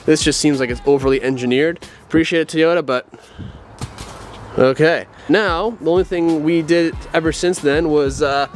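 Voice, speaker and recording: A young man talks through his engine work in a workshop, his voice sitting a little high and full of easygoing slang. He chats straight to his viewers with upbeat energy. Music runs underneath the recording. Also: normal speed. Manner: excited, slangy, talks to viewers as "you guys". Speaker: car build vlogger. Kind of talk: casual garage chat to camera.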